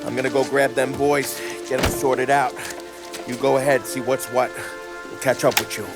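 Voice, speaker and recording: An actor playing a wisecracking, hot-headed 1930s American gangster in a video game, with brash, slangy, streetwise speech. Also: male voice, medium pitch, level tone, normal speed, over music, lightly acted. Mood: grumbling. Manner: brash, teasing. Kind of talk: video game cutscene acting.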